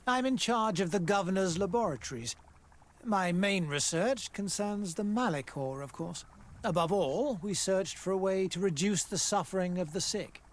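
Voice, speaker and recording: A man's voice: sits high, expressive, moderate pace. An actor playing a formal, pompous male scientist who runs a colonial laboratory in a fantasy game. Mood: measured. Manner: formal, pompous, loftily dismissive. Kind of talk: scripted fantasy game acting.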